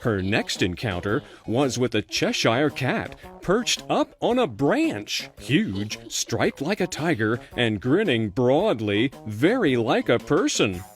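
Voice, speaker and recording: A man's voice: mid-range voice, very animated, takes it slowly, few pauses. A man narrating a scripted children's storybook tale as its storyteller. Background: faint music.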